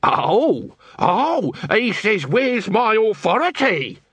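A man reading an audiobook, voicing a scrawny, sly male watchman who bluffs and talks fast. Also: high voice, very animated, a bit bright, husky, no room sound, pitched above the performer's own voice, full character voice. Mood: brash bluffing. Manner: brash, fast-talking, bluffing.

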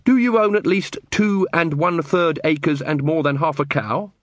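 A man's voice: on the high side, dry recording, voice pushed up, close to an everyday voice. A man voicing a young city watchman, earnest, literal-minded and formally polite, in an audiobook comic fantasy story. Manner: measured, formal, officious.

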